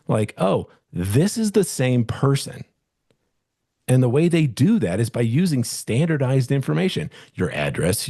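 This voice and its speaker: A man with a low, husky voice teaching casually on a studio microphone, talking in loose restarts and acting out what clients say. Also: dark tone, no room sound. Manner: conversational, many restarts and "you know"s, acts out client voices. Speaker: real estate coach presenting a webinar.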